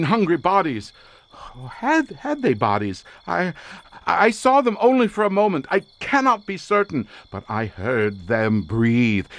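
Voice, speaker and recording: An actor playing a feverish, grandiose researcher near madness, declaiming with portentous fervor in a horror audio drama. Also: male voice, a little high, no echo. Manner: theatrical, portentous, fervent declamation.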